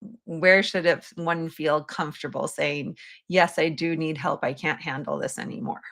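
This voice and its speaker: Low-voiced, creaky woman interviewer. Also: normal speed, fairly bright tone. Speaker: podcast host. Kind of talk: conversational interviewing.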